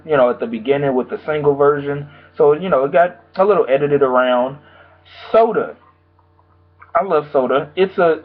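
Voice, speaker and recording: A young man, slightly hoarse from a sore throat, giving a rambling, casual album review full of fillers. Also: mid-range voice. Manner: rambling, loose, full of "like" and "you know". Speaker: music reviewer. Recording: tinny phone-like sound.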